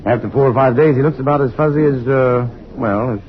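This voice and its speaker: An actor playing a mild, modest scientist in an American radio drama, speaking in an understated, thoughtful and slightly hesitant way. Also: male voice, medium pitch, brisk, a little hiss or hum, lightly acted. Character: mild, modest scientist, curious and faintly awkward. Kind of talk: mid-century American radio drama acting. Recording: dull old-time radio recording.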